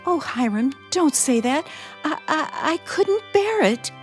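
Casting: anxious romantic young wife for vintage radio suspense, high-pitched voice, breathless gushing and halting lines, faint music behind. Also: female voice, sing-song. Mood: lovestruck. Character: naive, romantic, anxious newlywed bride. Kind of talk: old-time suspense radio drama acting.

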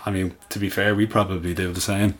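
A man with an Irish accent co-hosting a casual podcast, relaxed and a little hesitant, often restarting his sentences. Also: deep voice, fast, a little hiss or hum. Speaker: guest co-host. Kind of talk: casual chat.

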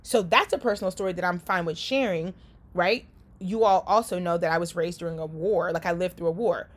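A woman with a fairly low voice coaches her listeners on careers in a relaxed, conversational way. She checks in with a frequent "right?" and often restarts her sentences mid-thought. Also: fairly quick. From Liberia. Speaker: career coach and company founder. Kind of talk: casual coaching talk to an audience.

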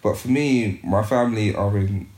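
A man's voice: lowish voice, moderate pace, a little mellow, roomy sound. A young man from the United Kingdom, a youth worker, talking reflectively as a podcast guest with many restarts and fillers. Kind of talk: casual interview conversation.

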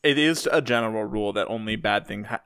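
A man's voice: fairly low pitch, quick pace, fairly bright tone, clear, resonant tone. A man co-hosting a podcast, giving casual remarks and reading short news items. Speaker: podcast co-host.

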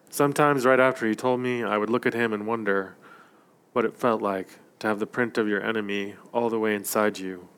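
A man reading a literary story aloud in a measured, reflective way. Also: fairly low pitch, a bit bright, smooth. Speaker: narrator.

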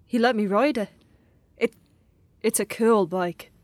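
A woman acting in an audio drama as a cheeky young boy, evasive and deadpan as he answers back. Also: mid-range voice, measured pace, dry recording, close to an everyday voice. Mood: casual, evasive. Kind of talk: naturalistic radio drama acting.

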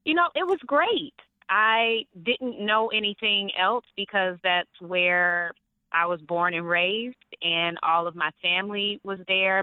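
A young adult woman from Atlanta, an actress telling lively stories in an interview and laughing often. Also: fairly low pitch, clear, resonant tone, dry recording. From Atlanta, Georgia. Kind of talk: interview on the phone. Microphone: phone. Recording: tinny phone line, dry.

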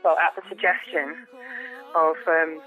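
A woman with a low voice, a folk musician chatting as a guest in a phone interview, often repeating words while she thinks. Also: fairly even intonation, a little slow, soft background music. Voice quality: low female voice. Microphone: telephone. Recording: tinny phone line.

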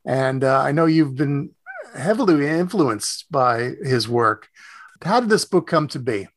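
A man hosting a conversational radio interview, with hesitant, halting and roundabout phrasing. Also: mid-range voice, lively intonation, no echo.

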